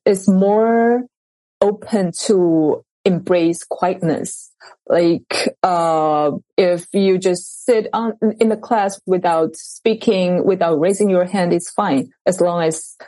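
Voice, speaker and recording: A soft-spoken woman from Taiwan talks unhurriedly in a warm, mellow voice that sits a touch low. She hesitates often, filling gaps with small ums and uhs. The sound is close and dry. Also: dry recording, low-quality recording. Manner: soft-spoken, halting with many fillers. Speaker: guest author. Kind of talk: casual interview chat.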